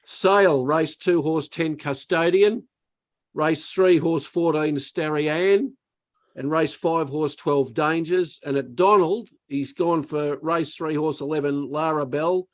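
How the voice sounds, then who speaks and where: A man hosting a sports radio show, briskly wrapping up and reading out racing tips. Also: fairly high pitch. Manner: brisk, reads tips aloud. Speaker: radio host. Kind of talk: radio show host wrap-up.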